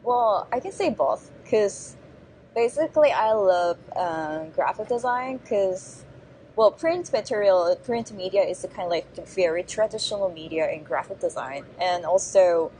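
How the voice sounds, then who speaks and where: A woman's voice: mid-range voice, lively intonation, measured pace, a little mellow, slight background noise, rough recording. A woman from South Korea in her thirties with a Korean accent, a graduating design student answering interview questions in a thoughtful, hesitant way with many fillers.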